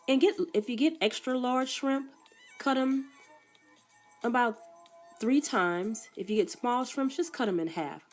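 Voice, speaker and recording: A woman's voice: a little high, a little mellow. Congested woman giving cooking steps. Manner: plain step-by-step instructions with frequent coughs. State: congested from a cold. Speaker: cook. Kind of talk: casual cooking demonstration. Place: food truck kitchen.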